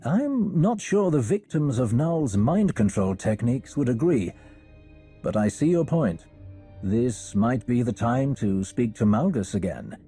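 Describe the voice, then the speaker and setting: Game scholar: courteous and composed, husky voice with expressive swings. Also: male voice, medium pitch, soft background music. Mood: thoughtful. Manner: polite, measured, formal. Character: courteous, learned male scholar of ancient relics, composed. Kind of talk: video game cutscene acting.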